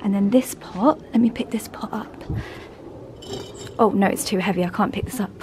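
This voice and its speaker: A woman's voice: mid-range voice, normal speed, a little mellow, slight background noise. A woman chatting casually and affectionately to her vlog audience. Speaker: vlogger, host of a personal channel.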